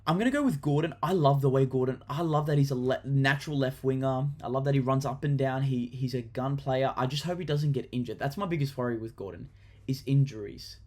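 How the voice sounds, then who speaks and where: A young Australian man talks through his fantasy football picks in an easygoing, chatty vlog. His voice sits at an ordinary middle pitch, and his delivery is enthusiastic, loose and full of ums and quick restarts. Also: a little mellow. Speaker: football vlogger. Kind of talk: casual fantasy football chat to camera.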